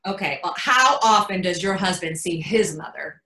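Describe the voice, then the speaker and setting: A woman's voice: on the low side, normal speed. A woman acting a wry, blunt, seasoned mother of a bride in a readers theatre play, with knowing, emphatic delivery. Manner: emphatic, knowing, deadpan punchlines. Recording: echoey room.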